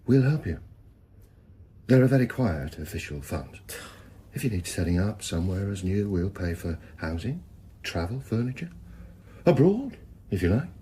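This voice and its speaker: An actor playing a calm, world-weary widowed detective in a dry, clipped, understated way for radio drama. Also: male voice, deep voice, normal speed, long pauses, a little hiss or hum. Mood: calm, persuasive. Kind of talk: naturalistic radio drama acting.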